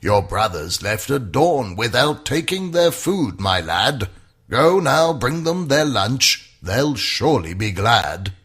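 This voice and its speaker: Male reader as elderly storybook dad, gentle and kindly, husky, animated rhyming lines. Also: medium pitch, takes it slowly, heavily acted. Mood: kindly urging. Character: kindly old village father of a young son.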